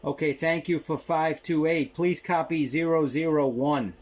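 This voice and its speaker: A man hosting an amateur radio vlog, talking casually and giving deliberate on-air calls. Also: fairly high pitch, steady tone. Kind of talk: casual radio commentary and on-air call-signs. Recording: very noisy, radio static and hiss. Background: loud ham radio noise.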